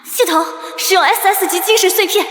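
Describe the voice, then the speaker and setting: A woman's voice: very high-pitched, fairly quick, a bit bright. A woman playing a bold, brash and protective princess with a teasing manner in a fantasy drama. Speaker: voice actor in a fantasy short drama.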